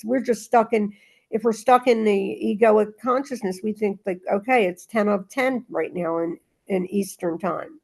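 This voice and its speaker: Creaky-voiced woman in casual spiritual discussion. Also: a little high, a little mellow. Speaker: reading group leader. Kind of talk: casual spiritual discussion with read-aloud passages.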